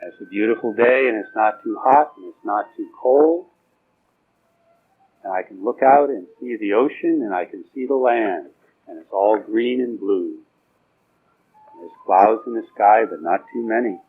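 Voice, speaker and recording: A man with a fairly low, rough-edged husky voice leads a guided meditation. He speaks soothingly and informally, offering each instruction as permission and leaving pauses between phrases. The recording is muffled and narrow, like an old lo-fi tape. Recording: dull, muffled lo-fi recording with music.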